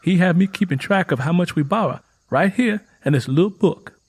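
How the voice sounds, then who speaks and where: A man's voice: sits high, animated, fairly quick, breathy edge, voice pushed up. A man voicing an earnest, chatty, hungry country girl with a Southern accent in folksy rural dialect for an audiobook. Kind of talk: audiobook character voice.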